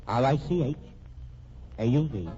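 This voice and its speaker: An actor playing an earnest accused man dictating a formal, deliberate statement in a vintage radio mystery, husky-voiced. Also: mid-range voice, lively intonation, close to an everyday voice. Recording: muffled old radio recording.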